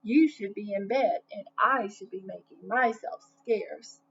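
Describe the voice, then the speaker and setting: A woman's voice: mid-range voice, measured pace, voice pushed down, close to an everyday voice. A woman voicing a bossy, scolding male turkey in a children's storybook, fussy and nervous. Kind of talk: children's storybook read-aloud. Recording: dull lo-fi sound, faint noise.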